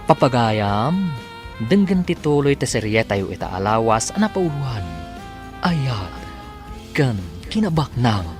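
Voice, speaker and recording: A woman doing a deep, unhurried male announcer with an Ilocano accent, opening a village radio drama over soft music. The voice rises and falls widely while keeping its slow, low drawl. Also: big pitch swings, exaggerated voice. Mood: announcing. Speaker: narrator.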